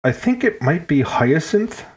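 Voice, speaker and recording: A man's voice: on the high side, sing-song, measured pace, slightly rough. A man with a Finnish accent who grew up in Hong Kong, a guest on a podcast chatting casually and joking, often restarting his words. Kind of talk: casual chat.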